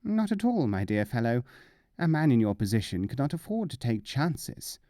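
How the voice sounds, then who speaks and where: A man's voice: medium pitch, very animated, normal speed, dark tone, dry recording, clean studio sound, close to an everyday voice. A man voicing a wry, self-assured wise-fool mullah in a storybook reading. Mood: airy, unruffled.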